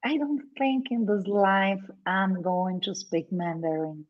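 A woman's voice: mid-range voice, steady tone, takes it slowly. A woman from Brazil, a native Portuguese speaker with a Brazilian accent, chatting casually in English as a guest and laughing often. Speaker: guest, lawyer. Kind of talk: casual conversation. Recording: dull lo-fi sound.

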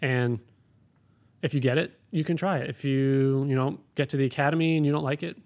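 A man's voice: mid-range voice, fairly even intonation, brisk, darkish tone. A man who works as an air traffic controller, answering interview questions in a plain, practical, explanatory way.

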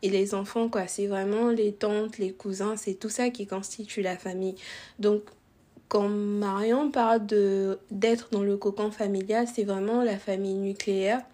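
A woman's voice: medium pitch, level tone, unhurried, dark tone. A young woman from Congo hosting a podcast, talking casually, hesitant and full of restarts, asking probing questions. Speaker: podcast host. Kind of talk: casual conversation.

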